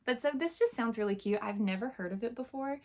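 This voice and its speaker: A woman with a hoarse, scratchy throat, chatting casually and enthusiastically about the books she bought, with plenty of fillers. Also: fairly high pitch, fast talker, darkish tone. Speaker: book vlogger. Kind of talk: casual chat showing a book haul.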